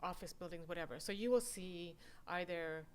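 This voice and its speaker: A woman's voice: on the low side, normal speed, clear, resonant tone. A middle-aged woman, born in England and raised in Canada, explaining her background and charity work in an earnest, conversational interview. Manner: earnest, explanatory, animated when quoting others. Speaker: guest, photographer and nonprofit founder.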